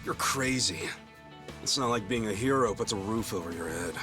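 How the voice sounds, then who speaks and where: An actor playing an earnest, dreamy middle-aged ex-yakuza underdog hero in a dramatic video game, speaking casually and expressively. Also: male voice, fairly low pitch, lively intonation, normal speed, sharp tone, faint music behind. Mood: sheepish, doubtful.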